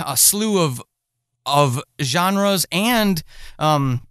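A male podcast host with a fairly high, very smooth voice in a dry recording. He chats casually with his guest and listeners. His delivery is earnest and encouraging. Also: lively intonation, drawn-out words, sharp tone, no room sound. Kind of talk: casual conversational interview.

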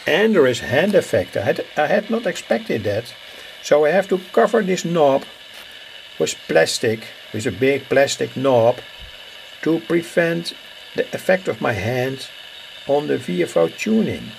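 Halting man with a very creaky voice. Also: on the high side, big pitch swings, slight background noise, poor audio. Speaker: hobbyist radio builder presenting. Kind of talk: unscripted hands-on demonstration narration. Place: indoor home workbench. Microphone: handheld camera's built-in microphone. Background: shortwave radio playing.

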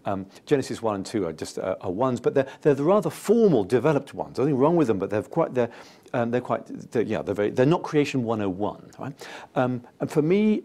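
A British man in his fifties explaining as an interview guest, enthusiastic and emphatic, with halting phrasing. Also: medium pitch, a little mellow. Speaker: interview guest, scientist and author. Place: indoor TV-style studio.

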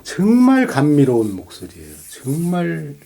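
A man's voice: fairly high pitch, wildly swooping pitch, measured pace, creaky, mellow. A middle-aged man in casual conversation as a co-host of a music talk show, speaking effusively and repeating himself for emphasis. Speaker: podcast co-host.

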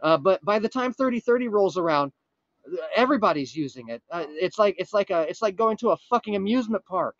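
A man playing a frantic, earnest time traveler from the future on a prank call, urgent, pleading and halting with dramatic pauses. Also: sits high, animated, fairly bright tone, no echo, dull audio. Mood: solemn pleading. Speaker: prank caller. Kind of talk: improvised comic prank phone call.

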